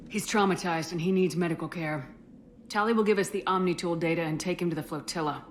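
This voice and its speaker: An actress playing a composed, compassionate military commander in a video game, calm, direct and authoritative. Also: female voice, lowish voice, quick pace, a little hiss or hum, lightly acted. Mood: firm.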